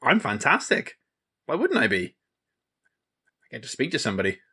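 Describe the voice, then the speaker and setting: A man's voice: mid-range voice, huge pitch swings, moderate pace, sharp tone, slightly rough, exaggerated voice. A man acting a lonely, childlike, overexcited friend who loves Christmas, in a theatrical, gushing comedic audio play style. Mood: chirpy.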